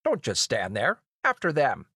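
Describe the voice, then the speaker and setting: A pompous royal chancellor, put on by a male storyteller, issues orders in an officious, commanding way. His pitch rises in a lively storybook villain delivery. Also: takes it slowly, dry recording, studio quality. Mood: urgent command.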